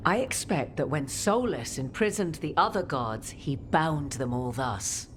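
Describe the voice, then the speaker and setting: An actress playing a measured, scholarly woman advisor in a fantasy game, with formal, deliberate phrasing. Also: sits low, expressive. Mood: grave. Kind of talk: dramatic game cutscene acting. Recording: faint ambient noise behind the voice.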